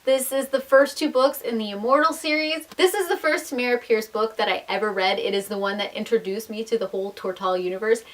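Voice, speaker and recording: A woman's voice: on the high side, brisk, hardly any pauses. A young adult woman chatting casually to viewers as a book vlogger, enthusiastic and emphatic, with self-deprecating asides.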